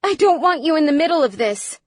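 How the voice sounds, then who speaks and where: Woman acting an urgent, anxious mother, higher-pitched. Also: lively intonation, moderate pace, no room sound. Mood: urgent, commanding. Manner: urgent, insistent. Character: anxious, protective mother, pleading and commanding.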